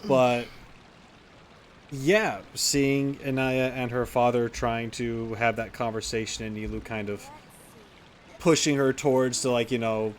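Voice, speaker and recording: A man's voice: medium pitch, steady tone, measured pace, slight background noise. A man giving casual, rambling video game commentary as a streamer. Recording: music playing behind the voice.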